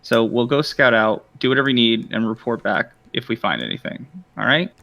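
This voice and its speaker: A man's voice: medium pitch, brisk, vocal fry, pushed and bright, no echo, highs cut off. Guy playing a confident sellsword in a tabletop game, blunt, mid voice, dry sound. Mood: blunt, practical. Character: confident, blunt fighter for hire, man. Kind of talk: improvised in-character roleplay.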